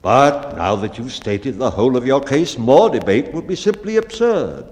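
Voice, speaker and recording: A man voicing an impatient, commanding bellman in a dramatic poetry reading, loud and imperious. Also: medium pitch, sing-song, moderate pace, long reverb tail, natural voice. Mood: exasperated. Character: blustering, impatient bellman, commanding leader of men.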